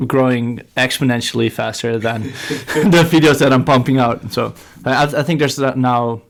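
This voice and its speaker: A man's voice: mid-range voice, fairly even intonation, normal speed, vocal fry. A Dutch man, a skate shop owner and guest, chatting casually in English with hesitant, self-deprecating talk. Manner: hesitant, many fillers and restarts, self-deprecating. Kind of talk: casual podcast chat.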